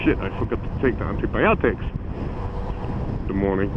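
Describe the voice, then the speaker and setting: A man's voice: fairly low pitch, animated, normal speed. German-accented man vlogging from a motorcycle. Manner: rambling, self-deprecating, talks to himself. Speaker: motovlogger. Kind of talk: live motovlog chat while riding. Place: outdoors on a motorcycle in winter. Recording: phone-like audio with strong wind and engine noise. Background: wind and vehicle noise.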